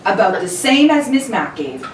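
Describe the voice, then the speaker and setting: A woman playing a brisk, dutiful police inspector in an old-time radio play reading, earnest and melodramatic. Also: mid-range voice, sing-song, close to an everyday voice. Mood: earnest, reporting. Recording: echoey live room, distant mic, faint noise.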